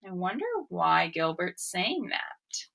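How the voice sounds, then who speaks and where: A woman teacher reading to young children in a very bright, forward voice. Her pitch moves in lively, sing-song swings and she takes her time over the words. She often turns to the children with simple questions. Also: slow pace, gravelly. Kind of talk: read-aloud story and phonics lesson for children.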